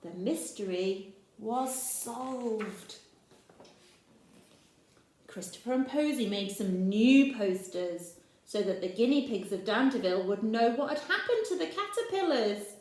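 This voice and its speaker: Teacher reading to young children: unhurried, animated, a little high, in an echoey room. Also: female voice. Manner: expressive, slow, pointing out pictures and asking the listener questions. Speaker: teacher reading a picture book. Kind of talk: reading a picture book aloud to children.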